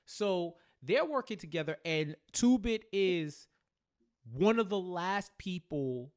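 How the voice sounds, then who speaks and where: A man co-hosting a casual recap chat, speaking in a halting way and repeating phrases as he thinks aloud. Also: sits high, animated, a little mellow, clean tone. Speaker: podcast co-host.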